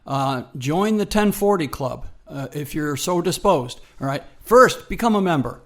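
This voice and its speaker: Man, slightly high-pitched, radio co-host, casual promotional chat, folksy, hesitant, emphatic repetition. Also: animated, a little slow, a bit bright.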